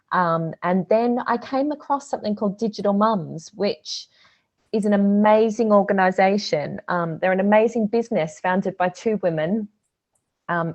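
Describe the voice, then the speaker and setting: A woman's voice: mid-range voice, highs cut off. A woman from Australia with an Australian accent, a guest on a podcast, talking chattily with frequent fillers and laughs. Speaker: guest, social media business owner. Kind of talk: casual podcast interview.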